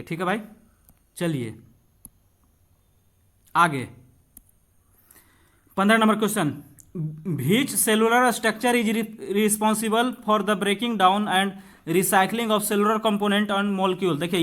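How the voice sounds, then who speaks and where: A man's voice: sits high, a bit bright. A man teaching an informal exam-prep lesson, reading questions aloud and explaining them, asking and answering his own questions as he talks directly to students.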